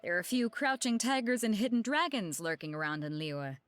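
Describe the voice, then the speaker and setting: A woman's voice: medium pitch, animated, brisk, fairly bright tone, clean tone, dry recording, natural voice. An actress playing a bold, teasing female ship captain in a video game, breezy and colloquial. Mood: sly, encouraging.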